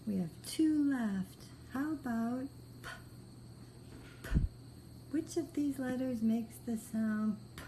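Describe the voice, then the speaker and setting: A woman's voice: fairly high pitch, slow, long pauses, background noise. A woman teaching a step-by-step phonics lesson to beginning readers, giving patient, simple instructions with repeated praise. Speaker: reading teacher.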